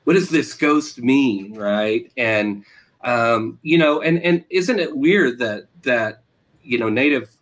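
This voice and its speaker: A man's voice: medium pitch, slow pace, fairly bright tone. Hesitant American man, thoughtful interviewee. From Oklahoma, USA. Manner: hesitant, searching, trails off and repeats phrases. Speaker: interviewed novelist.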